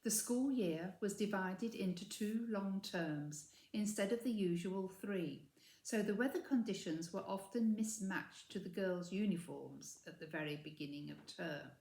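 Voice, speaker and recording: A woman reading a children's story aloud with measured, expressive emphasis, recorded in an echoey room. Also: mid-range voice, creaky. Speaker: story reader.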